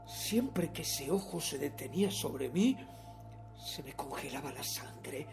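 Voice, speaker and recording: Obsessive madman, radio theatre monologue, slow and halting, gravelly creak, theatrical. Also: on the high side, animated, music in the background, full character voice. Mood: brooding, obsessive. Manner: theatrical, halting, swinging between whispers and outbursts. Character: nervous, obsessive madman confessing a murder, insisting he is sane.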